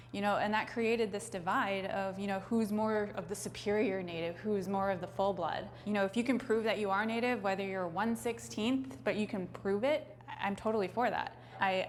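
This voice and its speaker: A woman's voice: medium pitch, a little hiss or hum. A woman in a panel discussion, speaking fluently and filling her speech with "you know". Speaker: panel participant.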